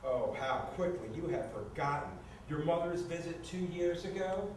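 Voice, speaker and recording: A man's voice: a little high, lively intonation, moderate pace, a little mellow, lightly acted. A man acting a fussy, anxious, defensive housemate in a comedic staged reading, exasperated and sarcastic. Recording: distant, very echoey room, noisy ambience.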